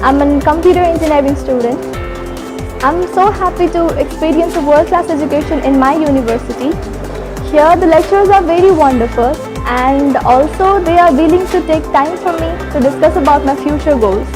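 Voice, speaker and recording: Woman from Nepal, high voice, eager student testimonial, music clearly underneath. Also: normal speed. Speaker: computer engineering student. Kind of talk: promotional testimonial.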